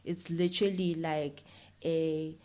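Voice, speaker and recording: A South African woman speaks as a guest in an interview. Her voice sits fairly low for a woman, and she talks in a casual, conversational way. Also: level tone, takes it slowly, a little mellow. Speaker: interview guest, filmmaker and YouTuber.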